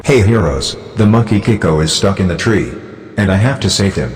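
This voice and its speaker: A low-voiced, animated lion hero acted by a man for a children's bedtime story. He is kindly and resolute, talking simply to little ones, with music clearly behind him. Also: normal speed, in a large hall. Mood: rousing, resolute. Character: kindly heroic father lion who rescues animals.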